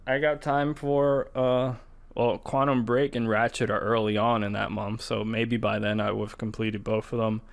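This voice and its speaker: A man's voice: on the low side, level tone, moderate pace, creaky. A man co-hosting a podcast, talking in a casual, informal way like an enthusiastic gamer. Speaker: podcast co-host. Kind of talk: casual chat about video games and movies.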